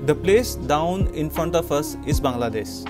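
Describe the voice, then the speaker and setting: Indian guy doing travel vlog voiceover, slightly high voice, loud music under it. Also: animated, unhurried. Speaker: travel vlogger narrating own trip. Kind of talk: scripted travel narration.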